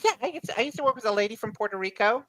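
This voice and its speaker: A woman with a Southern Mississippi accent talks casually as a podcast co-host. Her voice sits a little high, and the sound is close and dry. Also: animated, rapid-fire, a bit bright, no echo, low-quality recording. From Mississippi, United States. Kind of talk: casual chat.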